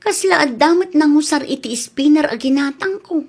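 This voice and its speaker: High-voiced young Ilocano daughter. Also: female voice, moderate pace, darkish tone. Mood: puzzled. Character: hard-working young daughter, touchy about her things. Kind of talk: Filipino radio comedy drama acting.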